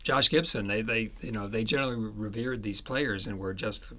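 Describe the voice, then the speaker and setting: A man speaking as an author in a conversational interview about his book, halting as he searches for words. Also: lowish voice, bright, forceful tone.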